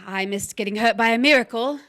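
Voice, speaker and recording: Lady acting steely femme fatale in a noir play, highish, sharp bright voice, scheming and pointed. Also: brisk, natural voice. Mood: feigned concern. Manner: pointed, accusatory, dramatic. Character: steely, scheming young wife turned murderous widow. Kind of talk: noir stage drama dialogue.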